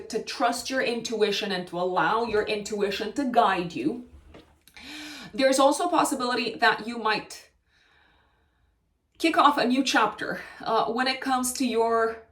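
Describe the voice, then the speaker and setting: A woman's voice: fairly high pitch, fairly bright tone. A woman with a Romanian accent giving a horoscope reading, thoughtful and hedging, with many fillers and restarts. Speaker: astrologer. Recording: echoey room, mic at a distance.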